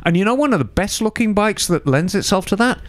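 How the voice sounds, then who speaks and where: A man's voice: high-pitched, animated, fast. A man hosting a podcast in casual chat, opinionated and emphatic, swearing freely. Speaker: podcast host.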